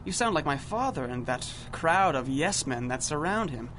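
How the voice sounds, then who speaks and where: A haughty young male inventor in an old-style radio serial. He speaks grandly and suavely in a bright, slightly high voice over faint noise. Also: animated, moderate pace. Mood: assured. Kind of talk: 1930s-style radio drama acting.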